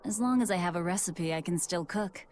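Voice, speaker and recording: Actress as cold young demon woman, lowish voice, deadpan, guarded, game cutscene, faint noise behind. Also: brisk, lightly acted. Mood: bitter, resigned. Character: cold, vengeful young female demon fighter. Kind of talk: English video game voice acting.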